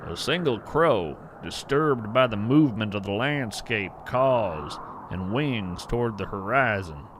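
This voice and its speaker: Slow-paced man playing a wondering wandering naturalist. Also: lowish voice, lively intonation, background noise. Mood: resigned, observant. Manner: measured, poetic, hushed storytelling with deliberate pauses. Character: earnest, wonder-struck wandering naturalist of hidden creatures. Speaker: podcast host narrator in character. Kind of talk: scripted first-person audio fiction narration.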